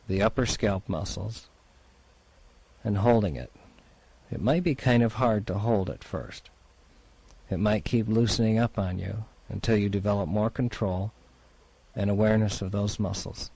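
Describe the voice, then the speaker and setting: A man leading a guided relaxation exercise as a therapist, in a soothing, unhurried, gently instructing manner. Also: on the low side, vocal fry, dry recording, poor audio.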